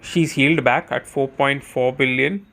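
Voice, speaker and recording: A man's voice: medium pitch, steady tone, measured pace, vocal fry, fairly bright tone. A man giving casual, chatty live commentary on a game as a streamer, thinking aloud as he goes.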